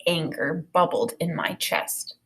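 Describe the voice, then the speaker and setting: A woman in her thirties, an elementary teacher, reading a children's novel aloud and explaining it in an expressive way. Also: sits low, takes it slowly. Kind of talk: read-aloud of a children's novel with think-aloud commentary. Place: small indoor room with bookshelf and whiteboard.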